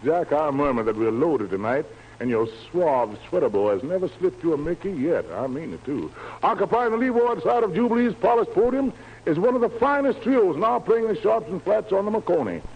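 A man's voice: high-pitched, lively intonation, fairly quick, breathy edge, lo-fi sound. A man emceeing a 1940s radio music show in slangy, theatrical hepcat jive talk, on a vintage broadcast recording. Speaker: radio show host, master of ceremonies.

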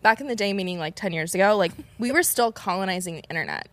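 A woman in her twenties, a podcast guest telling stories in an animated, expressive way. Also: medium pitch. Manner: animated, rapid and expressive storyteller. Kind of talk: casual chat.

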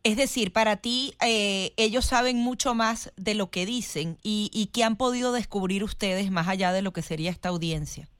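A woman's voice: mid-range voice, fairly even intonation, moderate pace, few pauses, clean tone, no room sound, clean studio sound. A woman co-hosting a radio interview, putting questions in a conversational way.

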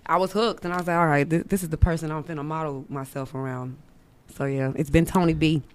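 A woman R&B singer as a podcast guest, talking in a candid, slangy way with a low voice and frequent restarts. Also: fairly even intonation, fast talker. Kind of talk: casual interview chat. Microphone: studio mic.

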